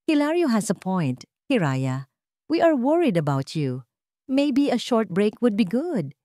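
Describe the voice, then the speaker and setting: A woman voicing a concerned, diplomatic corporate board member in an audio story, measured, with a Filipino accent. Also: on the high side, big pitch swings, mellow, dry recording, studio quality, voice pushed up.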